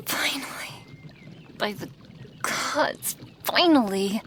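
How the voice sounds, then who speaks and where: A woman voicing an affectionate female dragon who brews potions, in a soft, intimate ASMR roleplay with breathy half-whispers and sighs. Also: high voice, expressive, extremely slow, heavily acted. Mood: relieved. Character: affectionate female dragon, a potion maker tending her human guest.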